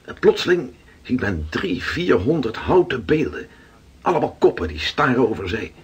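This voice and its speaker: An actor playing a weathered seafarer in a radio drama, telling his adventures slowly and with awe in a rough voice. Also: medium pitch, sing-song, dull audio, close to an everyday voice. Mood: awed, reflective. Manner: slow, dramatic storytelling. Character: weathered Dutch seafarer Gerrit, awed storyteller, around 1600.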